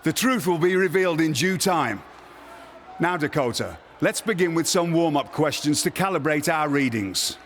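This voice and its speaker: Pompous, high-voiced wrestling boss. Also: male voice, animated, normal speed, a bit bright, slight background noise. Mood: pompous, officious. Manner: formal, grandiose wording. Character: pompous, theatrical male wrestling general manager. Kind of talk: video game cutscene acting.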